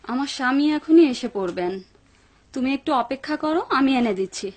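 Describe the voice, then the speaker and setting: A woman's voice: high-pitched, animated, brisk, no room sound. A woman playing a bossy, suspicious housewife in a dubbed cartoon, with a demanding way of talking. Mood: scheming. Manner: demanding, scolding. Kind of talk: Bengali-dubbed cartoon acting.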